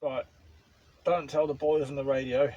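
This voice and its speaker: A man's voice: medium pitch, little pitch movement, moderate pace, mellow, highs cut off, a little hiss or hum. A man with a British accent chatting casually to camera as he vlogs from inside a small motorhome. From United Kingdom. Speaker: vlogger and amateur radio hobbyist. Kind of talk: casual vlog chat to camera.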